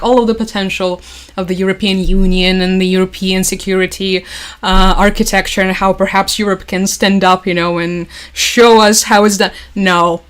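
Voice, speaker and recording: A young woman talks casually to her livestream chat, mid-pitched and conversational. Her delivery is wry and sarcastic, with dry asides and quick laughs. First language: Russian. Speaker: livestream host. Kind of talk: casual news commentary to chat.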